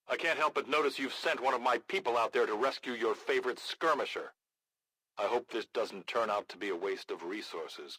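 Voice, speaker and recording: An actor playing a hardened, wry, commanding resistance leader in a video game, with a gruff, raspy voice and a dry, blunt delivery. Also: male voice, fairly low pitch, a bit bright, no room sound, low-quality recording. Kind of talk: scripted video game voice lines.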